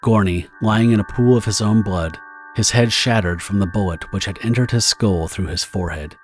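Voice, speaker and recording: Deep-voiced male story narrator over music. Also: moderate pace. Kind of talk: scripted dramatic story narration.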